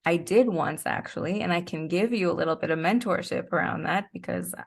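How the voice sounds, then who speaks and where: Woman, lowish pitch, creaky vocal fry, casual interview guest, reflective. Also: fairly quick. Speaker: interview guest, actor and podcaster.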